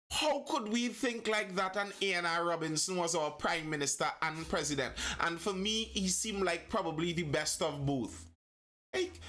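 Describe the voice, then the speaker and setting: Trinbagonian man hosting a live talk show, a bit high-pitched, thoughtful, corrects himself mid-sentence. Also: fairly bright tone. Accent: Trinidad and Tobago. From Trinidad. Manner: reflective, restarts and self-corrections. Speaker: host.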